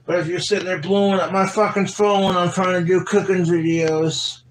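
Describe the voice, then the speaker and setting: A man's voice: sits high, level tone, normal speed, heavy background noise. A man ranting casually in an exasperated, profane way on a livestream.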